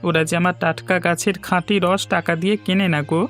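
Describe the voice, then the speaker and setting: Man acting a high-voiced honest village vendor. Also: flat delivery, fast talker, fairly bright tone, faint music behind. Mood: dejected. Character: honest, downhearted village date-juice seller, a husband. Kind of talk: cartoon voice acting.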